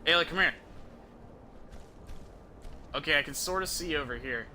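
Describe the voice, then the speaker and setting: A man's voice: fairly high pitch, moderate pace, bright, forceful tone, slight background noise. A young American man giving casual gameplay commentary as a streamer, rambling with slang and swearing over game music.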